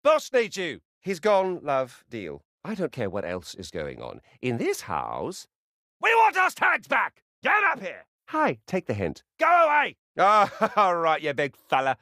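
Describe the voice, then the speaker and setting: A man voicing a rude, sarcastic, foul-mouthed squirrel spirit in a video game, swinging between muttered asides and brash yells. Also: sits high, wildly swooping pitch, measured pace, no room sound, studio quality, exaggerated voice. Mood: bossy, impatient. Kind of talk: video game character acting.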